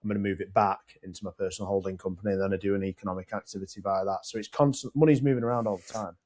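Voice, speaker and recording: A man's voice: lowish voice, fairly even intonation, rapid-fire, a little mellow. A British man co-hosting a business podcast, talking plainly and swearing as he lays out scenarios at length. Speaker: podcast co-host. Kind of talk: casual business chat. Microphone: podcast mic.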